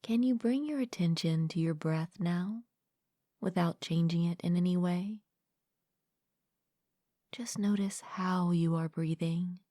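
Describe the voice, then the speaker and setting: Calm lady doing guided meditation, lowish soft breathy voice, close and really dry sound, gentle and unhurried. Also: no echo. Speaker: meditation guide, mindfulness coach. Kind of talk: guided meditation, soothing scripted narration.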